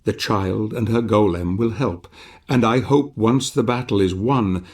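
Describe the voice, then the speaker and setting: A man playing a grave, portentous chronicler who narrates a fantasy quest in a solemn, measured way. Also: on the low side, a little mellow. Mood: grave, foreboding. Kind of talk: solemn scripted storytelling narration.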